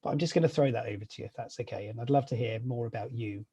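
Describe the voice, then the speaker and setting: A male podcast host talks quickly and effusively, tumbling through his questions with eager restarts. His voice has a husky, slightly breathy edge. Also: mid-range voice, mellow. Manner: enthusiastic, effusive, rambling questions. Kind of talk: podcast interview.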